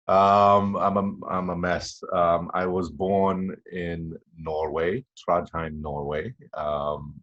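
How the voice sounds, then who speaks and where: A man in his fifties, originally from Tanzania, speaking as a podcast guest in a halting, reflective way with many fillers and restarts. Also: low-pitched, level tone, fairly bright tone, lo-fi sound. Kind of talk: casual interview chat.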